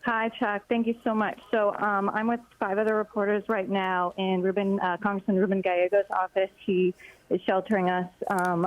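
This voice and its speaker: A young woman reporter speaking over a dull, lo-fi phone line, her voice in an ordinary middle pitch. She recounts what happened haltingly, with plenty of filler words. It is a live phone-in to a news broadcast. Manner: hesitant, many filler words, recounting events. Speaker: congressional reporter.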